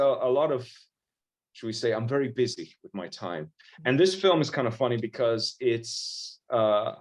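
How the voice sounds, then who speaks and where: A filmmaker from Ireland with an American-sounding accent, chatting casually in an interview over a video call, rambling with lots of restarts. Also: male voice, mid-range voice. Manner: rambling, frequent restarts and fillers, self-deprecating.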